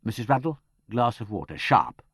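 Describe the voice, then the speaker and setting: Man playing a brisk, commanding English aristocrat. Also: fairly low pitch, big pitch swings, fairly bright tone, breathy edge, dry recording, clean studio sound, exaggerated voice. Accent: upper-class English.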